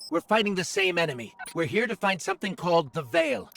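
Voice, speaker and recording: A man's voice: high-pitched, very animated, fast talker, vocal fry, fairly bright tone, slight background noise, heavily acted. A man voicing an anxious, chatty robot companion in a sci-fi video game, earnest and wry. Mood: earnest, alert.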